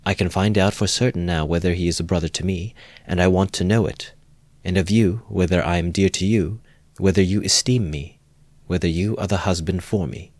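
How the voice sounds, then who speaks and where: A man voicing an earnest, resolute young woman speaking with measured feeling. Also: sits low, steady tone, darkish tone, no room sound. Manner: earnest and deliberate.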